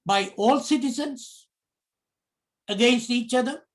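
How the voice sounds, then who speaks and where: A middle-aged man from Sri Lanka with a Sri Lankan accent, giving a prepared public talk in slow, deliberate phrases. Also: extremely high pitch. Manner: slow, deliberate, measured phrasing in short pieces. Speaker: commentator.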